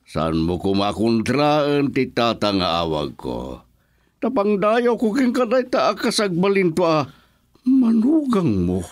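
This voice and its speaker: An actor playing a brazen, smug rich suitor in a radio drama, taunting, with a Filipino accent. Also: male voice, on the high side, huge pitch swings, measured pace, no room sound, clean studio sound. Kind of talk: Ilocano radio drama acting.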